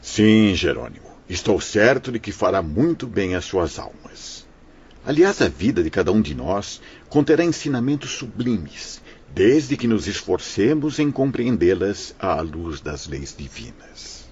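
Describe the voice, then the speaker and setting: A man's voice: on the low side, big pitch swings, measured pace, a little mellow, husky, lo-fi sound, heavily acted. A man playing a wise, benevolent spirit mentor in an audio drama, speaking in a solemn, formal way. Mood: solemn, kindly. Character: wise, benevolent male spirit mentor narrating past events.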